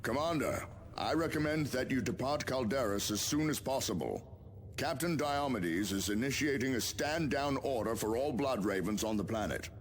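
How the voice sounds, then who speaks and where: An actor playing a grave space marine officer who gives formal, measured mission briefings in a video game. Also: male voice, medium pitch, expressive, a little hiss or hum, close to an everyday voice. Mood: earnest, concerned.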